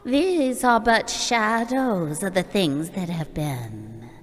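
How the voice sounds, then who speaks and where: Slow, echoing, solemn spirit played by a woman. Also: mid-range voice, animated. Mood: gentle, grave. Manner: stately, formal, measured. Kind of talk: live radio play acting. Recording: long reverb, faint noise, live performance.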